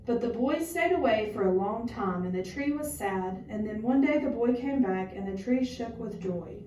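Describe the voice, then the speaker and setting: A woman's voice: on the high side, expressive, normal speed, warm tone. A young woman student teacher reading a storybook aloud in a classroom lesson. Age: young adult. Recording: roomy, distant mic with faint noise.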